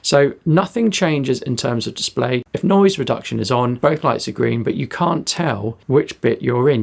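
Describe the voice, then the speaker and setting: A man's voice: mid-range voice, vocal fry. A British man giving a casual spoken product review of a gadget.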